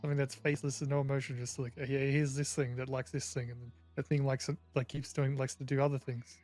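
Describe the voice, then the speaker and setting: Rambling man with a mid-pitched voice. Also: flat delivery, quick pace, a little mellow, faint music behind. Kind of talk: casual roleplay chat in character.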